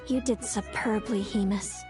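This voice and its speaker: A woman's voice: medium pitch, steady tone, unhurried, music in the background, natural voice. A woman playing a composed, self-assured young rival in video game dialogue. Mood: gracious.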